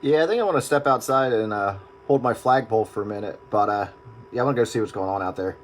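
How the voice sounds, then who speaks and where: A man's voice: lowish voice, fast. Man chatting over a very noisy radio. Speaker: radio hobbyist on air. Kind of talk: casual radio chat.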